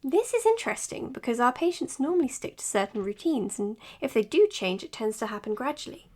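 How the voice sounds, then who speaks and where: A woman's voice: high-pitched, very animated, fairly quick, a little mellow. A woman acting in an audio drama as an earnest, matter-of-fact psychologist giving her case notes. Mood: measured, clinical. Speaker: doctor. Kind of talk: audio drama, recorded clinical log.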